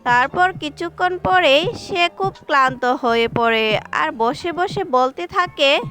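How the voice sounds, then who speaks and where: A woman's voice: sits high, slow pace, bright, forceful tone, faint music behind. A woman narrating a scripted children's story as the storyteller.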